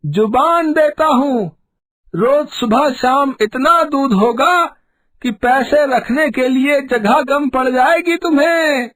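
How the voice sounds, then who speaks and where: A man's voice: extremely high pitch, slow pace, fairly bright tone, full character voice. A man voicing a greedy, bullying village cattle trader, boastful and dismissive, in a children's cartoon story. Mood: boastful assurance.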